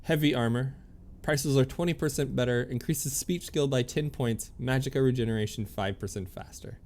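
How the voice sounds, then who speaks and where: A man's voice: mid-range voice, normal speed, darkish tone. A man giving casual livestream commentary on a video game, easygoing and chatty as he thinks aloud and reads out on-screen text. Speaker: game streamer.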